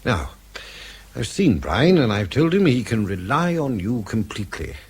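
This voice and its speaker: An avuncular old British man speaks in a lowish, husky voice. He is reassuring and genial, with lively rises and falls, in the style of radio drama. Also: normal speed, heavily acted. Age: older. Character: kindly, avuncular old outgoing section chief.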